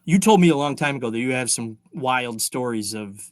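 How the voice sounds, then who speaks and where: A man's voice: mid-range voice, lively intonation, brisk, dry recording, studio quality. A man in his forties hosting a podcast, chatty and joking, asking questions in fits and starts. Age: 40s. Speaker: podcast host. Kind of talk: casual interview.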